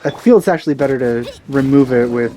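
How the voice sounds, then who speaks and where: A man's voice: medium pitch, fast, dark tone, slight background noise. Offhand man doing casual game commentary. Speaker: let's play host.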